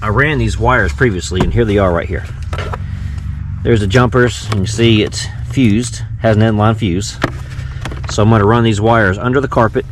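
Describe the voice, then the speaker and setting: A man's voice: fairly low pitch, fairly even intonation, normal speed. A man giving a casual, folksy how-to while working inside a vehicle, thinking aloud as he goes. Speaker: vlogger demonstrating a car radio install. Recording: clear background noise in a vehicle.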